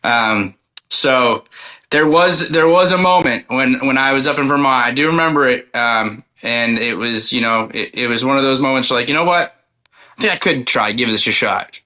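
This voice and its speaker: A man's voice: mid-range voice, moderate pace, bright, forceful tone. A male singer-songwriter giving a casual interview, rambling and repeating words with plenty of "like" and "you know". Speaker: country singer-songwriter guest.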